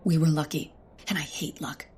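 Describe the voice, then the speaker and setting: An actress playing an intense, cynical female scientist sleuth with a husky, breathy voice and grim manner in a comic audio drama. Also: deep voice, steady tone, normal speed, mellow. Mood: grim, resolute. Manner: grim, melodramatic.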